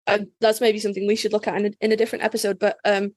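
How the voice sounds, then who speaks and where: A woman's voice: medium pitch, flat delivery, rapid-fire, a little mellow, no echo, clean studio sound. A woman co-hosting a podcast in a chatty, playful way, often restarting her sentences. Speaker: podcast co-host. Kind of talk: casual chat.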